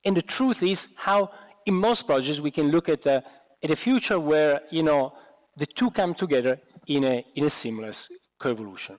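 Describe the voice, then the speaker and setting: A middle-aged man with an Italian accent, a bit hoarse, giving an animated university lecture on a headset mic, with many restarts and fillers. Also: fairly high pitch, normal speed. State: hoarse, losing his voice. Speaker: guest lecturer. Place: large university lecture hall. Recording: lecture hall with long reverb.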